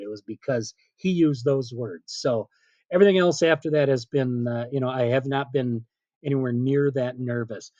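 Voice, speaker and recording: A middle-aged man, a PA announcer being interviewed, telling casual anecdotes in an animated way and quoting remembered dialogue. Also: medium pitch, no echo, highs cut off. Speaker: stadium PA announcer, interview guest.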